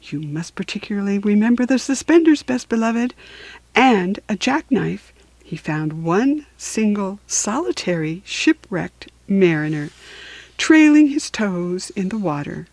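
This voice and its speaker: Animated, unhurried woman reading a children's story. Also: mid-range voice, dry recording. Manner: theatrical, deliberate emphasis.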